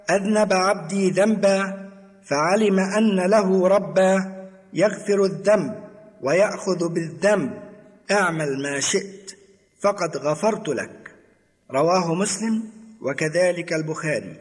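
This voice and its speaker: A man giving a measured religious recitation as a reader of sacred texts. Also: high voice. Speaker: hadith reciter. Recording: long reverberant hall echo.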